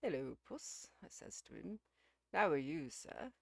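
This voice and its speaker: Woman doing a calm, rambling manservant. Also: deep voice, vocal fry, no echo, clean studio sound, heavily acted. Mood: chatty, calm. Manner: calm, unhurried, colloquial storytelling. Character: tranquil, rambling working-class English hired man, male servant.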